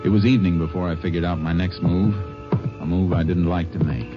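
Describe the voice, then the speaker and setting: Texas Ranger lead, male actor, American, very low voice, courteous and understated, vintage radio drama on muffled recording. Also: steady tone, loud music behind the voice, close to an everyday voice. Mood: grave narration. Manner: measured, polite, understated. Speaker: lead actor and first-person narrator. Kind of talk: 1950s radio drama acting.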